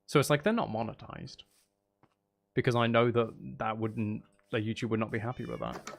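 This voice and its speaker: A man with a gravelly edge, chatting casually to viewers as he plays a game, rambling and trailing off as he thinks aloud. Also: fairly low pitch, moderate pace. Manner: rambling, trailing off, muttering to himself. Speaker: streamer and audio creator chatting with viewers. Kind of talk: casual livestream gameplay chat.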